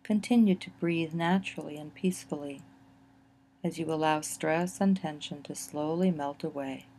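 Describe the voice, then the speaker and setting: Low, mellow woman guiding relaxation. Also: a little hiss or hum. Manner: gentle, soothing, unhurried phrasing with pauses. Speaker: guided meditation narrator. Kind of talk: slow guided imagery relaxation narration.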